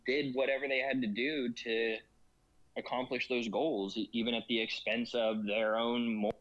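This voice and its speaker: A man's voice: mid-range voice, flat delivery, normal speed, fairly bright tone, highs cut off. A man chatting casually as a guest about a strategy game, rambling with many fillers and frequent laughs. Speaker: guest in gaming discussion. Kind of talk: casual chat.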